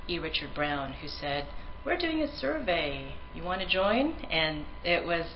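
Low-pitched woman, tagalog native speaker, earnest conference talk with ums and restarts, bit muffled, faint room noise. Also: sing-song, unhurried. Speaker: survey researcher presenting. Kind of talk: conference presentation.

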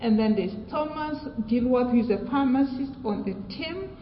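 A woman's voice: a little high, expressive. Kenyan-born woman with British accent, echoey hall. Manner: measured, with frequent fillers and restarts. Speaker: research presenter. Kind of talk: academic conference talk. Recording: echoey hall, dull sound, faint noise.

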